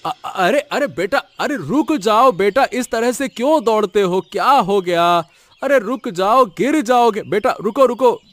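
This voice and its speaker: Man playing an animated, concerned village father. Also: high-pitched, unhurried. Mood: alarmed. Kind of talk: animated moral story dialogue.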